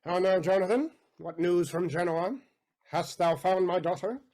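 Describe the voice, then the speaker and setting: An actor playing a bitter, vengeful moneylender and betrayed father in a Shakespearean audio play, with a husky voice and theatrical, emphatic delivery. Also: high voice, moderate pace, lightly acted. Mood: anguished grief. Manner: theatrical, emphatic, swinging between hushed and loud.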